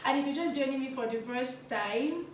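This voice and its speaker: A fairly high-voiced woman chats to her viewers while snacking, giggling and crunching between thoughts. Her voice comes from a step back from the mic and rings in a very echoey room, with a faint hiss underneath. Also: moderate pace, far from the mic. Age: 20s. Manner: chatty, giggly, talks between crunchy bites. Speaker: vlog host. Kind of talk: casual talk while eating on camera.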